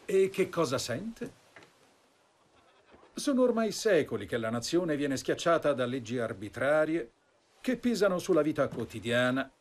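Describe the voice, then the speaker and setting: A man's voice: fairly high pitch, sing-song, unhurried. A man dubbing an actor playing an earnest eighteenth-century delegate of the third estate, asking and answering his own questions in an impassioned monologue to camera. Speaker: period reenactor speaking to camera. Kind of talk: dubbed documentary monologue. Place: wood-panelled study with leaded windows.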